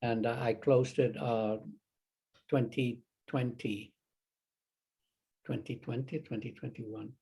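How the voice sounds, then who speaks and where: Older Chilean man, halting and rambling. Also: medium pitch, fairly even intonation, gravelly, dark tone, husky. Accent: Chilean Spanish. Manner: rambling, halting, many restarts and fillers. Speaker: Zen teacher, guest. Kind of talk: casual interview conversation.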